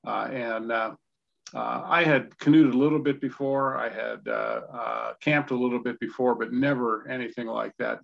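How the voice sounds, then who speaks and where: An American man in his sixties from Indiana, telling personal stories as an interview guest in a halting, conversational way over a video call. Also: mid-range voice, creaky, a bit bright. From Indiana, United States. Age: 60s. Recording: dull, lo-fi video call audio.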